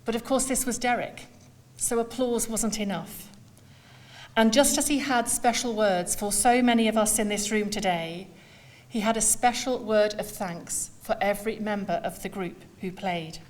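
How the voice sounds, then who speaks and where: A woman delivering a prepared memorial speech, speaking formally in measured, deliberate phrases with pauses. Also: mid-range voice. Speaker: school leader giving a eulogy.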